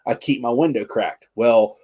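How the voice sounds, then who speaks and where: A man hosting a livestream, chatting casually in a halting way with frequent restarts and reading out viewer questions. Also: medium pitch, a little mellow, husky. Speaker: livestream host.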